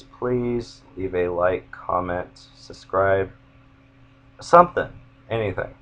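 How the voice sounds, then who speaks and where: Low, slow, monotone young man. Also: lo-fi sound, a little hiss or hum. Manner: rambling, self-interrupting. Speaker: gamer showing his build. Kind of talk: casual game walkthrough.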